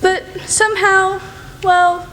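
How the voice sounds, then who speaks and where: A young woman playing an outspoken, excitable teenage girl in a radio play, gushing and rambling bluntly. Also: very high-pitched, slow, full character voice. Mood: frank, earnest. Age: teenager or young adult. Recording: echoey hall, faint noise.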